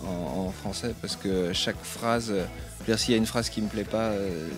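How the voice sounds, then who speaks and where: Hesitant low-voiced man with music behind. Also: steady tone, normal speed. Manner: hesitant, many fillers and restarts, thoughtful. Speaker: singer-songwriter interviewed about his album. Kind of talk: casual interview answers.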